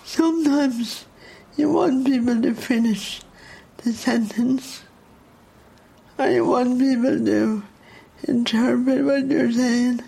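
A woman with a Dublin accent and a speech impediment, drawing out each word in a very slow, deliberate way. Her voice is gravelly and husky, and she talks with open, reflective candour. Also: on the high side, steady tone, warm tone, poor audio. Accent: Dublin Irish. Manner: candid, reflective, emotionally open. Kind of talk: documentary interview.

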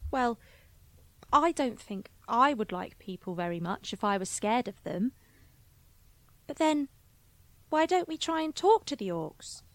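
Woman playing a high-voiced, curious little schoolgirl. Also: big pitch swings, unhurried, pauses often, dry recording. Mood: thoughtful, earnest. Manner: inquisitive, naive, childlike phrasing. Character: curious, earnest young schoolgirl questioning her mother at bedtime. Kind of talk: fantasy audio drama dialogue.

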